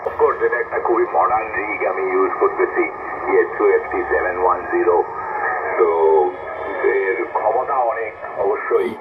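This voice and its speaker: A man's voice: deep voice, moderate pace. A man chatting casually as a ham radio net controller, mixing Bengali with English phrases. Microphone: amateur radio transmission. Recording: very noisy, narrow-band shortwave radio link.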